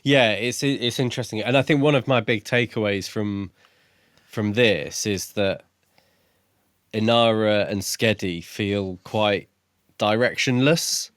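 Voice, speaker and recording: A man co-hosting a podcast, discussing a book casually in measured, tentative phrases. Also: on the low side, fairly bright tone, no room sound, clean studio sound. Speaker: podcast co-host.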